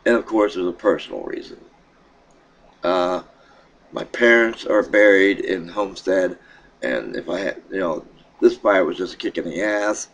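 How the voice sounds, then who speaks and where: A middle-aged man with a deep, low voice talks into a desk webcam in a small room at home. His throat sounds froggy, and his speech wanders, with halting restarts and plenty of fillers. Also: a bit bright. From Pennsylvania. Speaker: vlogger. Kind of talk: casual vlog update.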